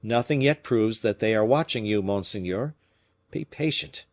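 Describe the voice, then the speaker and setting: A man's voice: fairly low pitch, expressive, normal speed. Brief: worried loyal aide, urgent and pleading, voiced by a male reader.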